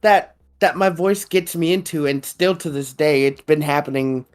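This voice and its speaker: A young man co-hosting a podcast in casual chat, with a high-pitched voice that gets mistaken for a woman's, laughing often. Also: faint music behind. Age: young adult. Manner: laughs often, slow and drawn-out. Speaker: podcast co-host.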